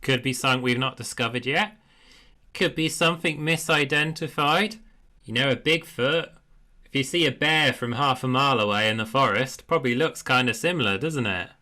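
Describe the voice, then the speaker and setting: A man's voice: mid-range voice, normal speed, bright, forceful tone. A man leading a session in casual chat, explaining things informally and asking prompting questions. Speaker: project facilitator and session leader.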